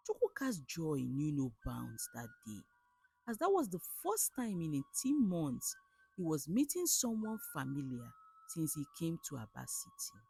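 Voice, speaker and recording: A woman with a Nigerian accent narrating a folktale as a storyteller, in an expressive, theatrical way. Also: low-pitched, a little slow, creaky, soft background music. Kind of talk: dramatic scripted folktale narration.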